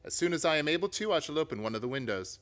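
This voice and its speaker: A man playing a confident, commanding gentleman detective in a theatrical radio play. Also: on the high side, animated, very fast. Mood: instructing.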